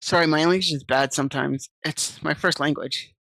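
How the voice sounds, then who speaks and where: A woman's voice: low voice, fairly even intonation, moderate pace, gravelly, fairly bright tone, no echo. A woman streaming, reading visual novel text aloud and chatting casually with viewers on a desk mic. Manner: chatty, reads game text aloud and answers chat.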